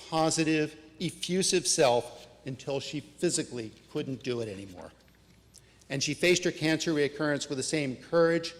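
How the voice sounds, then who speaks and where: A man delivering a prepared eulogy at a memorial service, measured and read from notes. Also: medium pitch. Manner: measured, reading from prepared remarks. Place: church sanctuary. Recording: church with long reverb.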